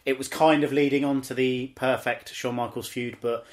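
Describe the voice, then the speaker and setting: A man's voice: mid-range voice, level tone, normal speed. A man hosting a podcast, casually recapping events from his notes. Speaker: podcast host.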